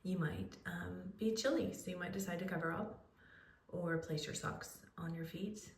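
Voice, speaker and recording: A woman leading a guided relaxation, speaking in a soothing, unhurried way with pauses as she gives gentle instructions. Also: lowish voice, fairly even intonation, vocal fry. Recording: roomy echo, distant microphone.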